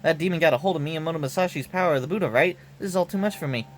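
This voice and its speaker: A man's voice: fairly high pitch, rapid-fire, a little hiss or hum, lightly acted. A man voicing a brash young female painter and swordfighter in game dialogue, with blunt, slangy, emotional tough talk. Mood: ashamed, anxious. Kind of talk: game dialogue read aloud. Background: background music.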